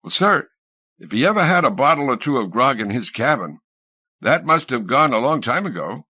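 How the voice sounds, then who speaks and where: A man's voice: medium pitch, sing-song, moderate pace, pushed and bright, breathy edge, pitched above the performer's own voice, full character voice. A man voicing a plain-spoken, deferential ship's steward in an audiobook, answering in a respectful, matter-of-fact way. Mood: explaining.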